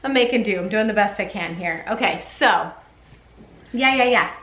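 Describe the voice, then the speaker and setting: A woman with a medium-pitched voice chats informally as a parenting vlogger. She rambles along in a giggly, conversational way. The sound is noticeably roomy, recorded in a bedroom at home. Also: moderate pace, fairly bright tone. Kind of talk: casual chatty vlog. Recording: echoey room.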